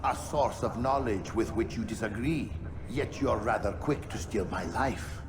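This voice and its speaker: An actor playing a proud, scornful book-burning zealot in a video game, declaiming like a preacher. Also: male voice, on the low side, normal speed, background noise, lightly acted. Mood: dying, defiant. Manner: declamatory, preaching, rhetorical questions. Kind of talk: dramatic video game acting.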